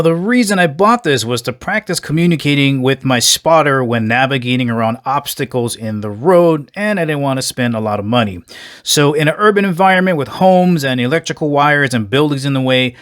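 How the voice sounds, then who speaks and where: Male reviewer, mid-pitched, casual and conversational, explaining a product step by step to viewers. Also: lively intonation, fairly quick, a bit bright. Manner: conversational, addresses viewers as guys.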